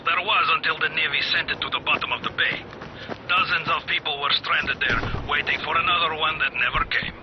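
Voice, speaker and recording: A calm, sardonic henchman from a video game, played by a man, fairly high-voiced and measured as he gives orders wryly. The audio is slightly dull with faint noise. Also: close to an everyday voice. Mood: matter-of-fact. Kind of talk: cinematic video game acting.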